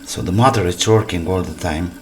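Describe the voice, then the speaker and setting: A man repairing a machine at his workbench, quietly commenting to himself in short, muttered remarks. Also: deep voice, slight background noise. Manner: slow, muttered remarks to himself between tasks. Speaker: repair channel host.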